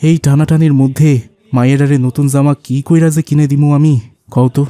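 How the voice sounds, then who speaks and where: A man voices a poor, humble rickshaw puller in a cartoon, with a colloquial Bangladeshi accent. The voice is soft-edged and dark, slightly high for a man, recorded very dry. He speaks earnestly and plaintively. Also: steady tone, fairly quick, clear, resonant tone, no echo, close to an everyday voice. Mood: weary, plaintive. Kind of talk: cartoon voice acting.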